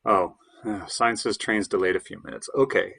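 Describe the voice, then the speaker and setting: A man's voice: lowish voice, steady tone, normal speed, vocal fry, bright, forceful tone, exaggerated voice. A man playing a hesitant, stammering, defensive would-be bohemian in his late thirties in an audio play. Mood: defensive, harried.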